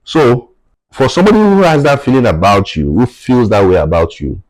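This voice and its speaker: A man's voice: mid-range voice, huge pitch swings, normal speed, darkish tone, dry recording, low-quality recording. A man in his thirties with a Nigerian accent, a native Yoruba speaker, earnestly explaining his views as a podcast guest and often checking in with "right?". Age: 30s. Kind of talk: reflective conversational podcast talk.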